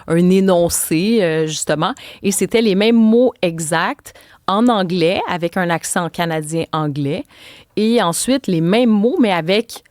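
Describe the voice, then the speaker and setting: Indignant Québécois woman, low-pitched. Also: measured pace, darkish tone, dry recording. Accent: Québécois French. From Quebec. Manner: passionate, indignant, explanatory. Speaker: guest expert. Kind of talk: radio interview.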